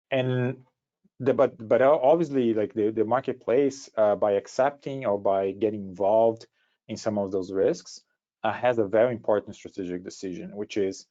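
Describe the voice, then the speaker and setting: A man with a Brazilian accent presenting an informal webinar in a halting way, often repeating words as he goes. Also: fairly low pitch, mellow. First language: Portuguese. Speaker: startup co-founder giving a presentation. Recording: dull, lo-fi online call audio.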